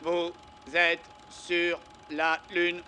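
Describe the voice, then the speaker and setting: Brief: cartoon toy robot, utterly monotone, dragging pace, bright tone. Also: female voice, fairly low pitch, a little hiss or hum, full character voice. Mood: mechanical. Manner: flat, mechanical, halting. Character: dim toy robot answering questions wrongly. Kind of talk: animated cartoon dub.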